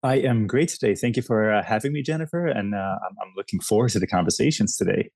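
A man from the Netherlands with a Dutch accent, chatting as a podcast guest, talking haltingly with lots of restarts and fillers. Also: fairly low pitch, creaky, darkish tone, no room sound, clean studio sound. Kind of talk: casual interview chat.

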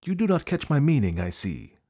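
A man voicing a fussy, precise male detective who asks polite, probing questions in a deliberate way. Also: fairly high pitch, big pitch swings, dark tone, voice pushed up.

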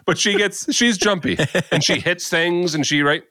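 A man's voice: high voice, moderate pace, bright, forceful tone. A man co-hosting a podcast, telling a story in casual chat, laughing and acting out the quotes. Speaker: podcast co-host.

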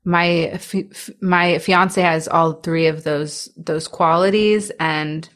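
Slow-talking young woman with a stutter. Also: on the low side, steady tone. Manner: stutters, with repeated sounds and restarts; soft-spoken, laughs between thoughts. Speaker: interviewee. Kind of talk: candid personal interview.

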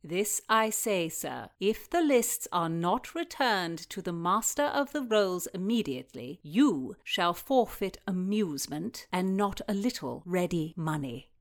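A woman voicing a resolute, sharp-witted noblewoman in a historical story, formal and commanding in manner. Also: on the low side, lively intonation, a little slow, hardly any pauses. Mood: firm, wry. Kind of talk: dramatized historical fiction reading.

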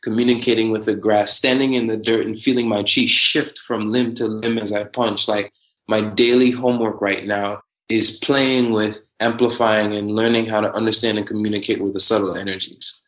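A man in his twenties from Trinidad and Tobago, talking casually as a podcast guest, animated and quick to laugh. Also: lowish voice, rough recording. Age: 20s. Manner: animated, laughs often, spiritual slang-heavy talk. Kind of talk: casual conversational interview.

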